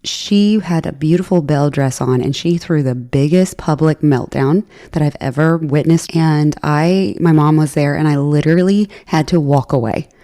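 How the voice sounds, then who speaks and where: A woman co-hosting a podcast, telling stories in a casual, animated, laughing way. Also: deep voice, normal speed, few pauses. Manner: animated storyteller, laughs often. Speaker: podcast co-host. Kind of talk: casual chat.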